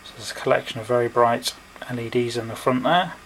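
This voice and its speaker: A man giving a hands-on product review, explaining step by step in short, unhurried phrases, indoors at a desk. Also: medium pitch. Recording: noisy home recording with clicks.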